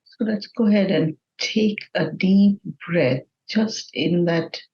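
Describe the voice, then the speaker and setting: A woman leading a guided meditation in a gentle, measured manner with long pauses between phrases. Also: medium pitch, highs cut off.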